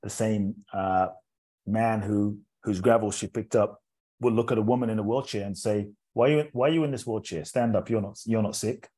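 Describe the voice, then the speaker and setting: A man in his forties who grew up in England speaks in a low, mellow and slightly husky voice. He tells his story as an interview guest, earnest and measured, circling back on words as his thoughts unfold. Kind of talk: reflective personal storytelling in an interview.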